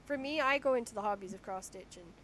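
A woman from Shetland hosting a podcast interview, chatty and self-deprecating, with a naturally squeaky voice and frequent laughter. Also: quick pace, a bit bright, poor audio. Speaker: podcast host. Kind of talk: casual conversational interview.

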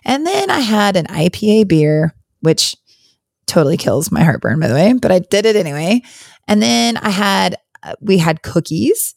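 A hoarse woman hosting a podcast, talking in a candid, chatty, rambling way on her own. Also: medium pitch, very animated, moderate pace, darkish tone, no echo, studio quality. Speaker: podcast host. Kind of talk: casual solo podcast chat.

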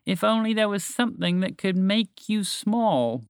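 A man voicing a small, smug, excitable female animal in a storybook, with emphatic, drawn-out sarcasm. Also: on the high side, dry recording, studio quality, voice pushed up, exaggerated voice. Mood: sarcastic.

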